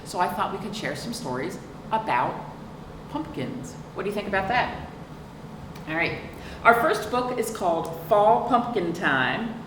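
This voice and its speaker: A woman's voice: medium pitch, lively intonation, measured pace. A woman hosting a children's storytime, reading aloud expressively and prompting young listeners with simple questions. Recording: roomy, distant mic, clearly noisy.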